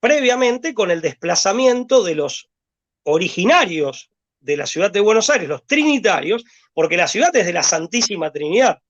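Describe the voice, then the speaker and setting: Argentine man from Rosario, high-pitched, thinks aloud with many fillers, muffled lo-fi audio. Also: sing-song, moderate pace. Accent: Rosario Argentine Spanish. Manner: rambling, hesitant with repeated words and fillers. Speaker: guest. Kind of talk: discursive conversation.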